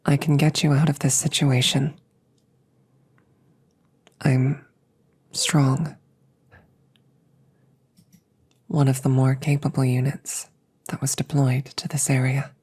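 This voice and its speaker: A woman plays a female combat android with a very deep, dark voice. The machine speaks softly and formally, calm and protective, soothing the listener in slow, measured phrases. It is intimate roleplay audio drama. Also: heavily acted. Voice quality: soft, breathy, often near a whisper.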